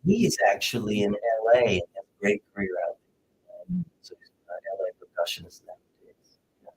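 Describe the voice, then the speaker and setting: A man's voice: on the low side, very animated, a little fast, background noise. A man from Puerto Rico, a jazz guitarist chatting as a guest in an interview, easygoing and rambling. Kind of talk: casual interview chat.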